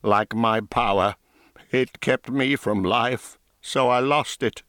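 A man's voice: fairly bright tone, clean tone, dry recording, studio quality. A man reading an audiobook, voicing a broken, haunted old woman dyer in a strained, raised voice. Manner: slow, strained, rising high pitch. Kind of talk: audiobook character voice.

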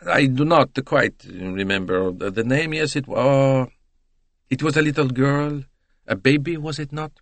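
A man's voice: medium pitch, measured pace, dry recording, pitched above the performer's own voice, close to an everyday voice. A man voicing a voluble, boastful, beaming salesman in an audiobook. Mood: hesitant. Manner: gushing, eager, philosophical.

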